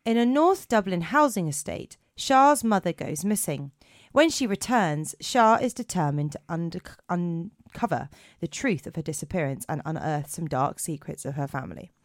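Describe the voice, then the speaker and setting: A woman with a hoarse voice co-hosting a podcast, chatting casually with many fillers. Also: lowish voice, lively intonation, moderate pace, no echo, studio quality. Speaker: podcast co-host. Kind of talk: casual chat.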